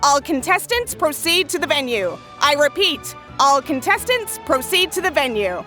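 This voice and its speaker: Game tournament official: sharp, lively, high-pitched public calls, light music underneath. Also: female voice, moderate pace. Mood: official, urgent. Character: brisk, official female tournament announcer. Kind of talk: public announcement, English game dub acting.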